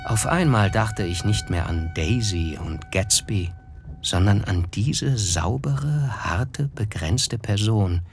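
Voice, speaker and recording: Low-voiced reflective narrator. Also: male voice, lively intonation, over music. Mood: tender, lyrical. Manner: measured, reflective, lyrical. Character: reflective, wry young man narrating his memories. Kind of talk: literary radio play narration.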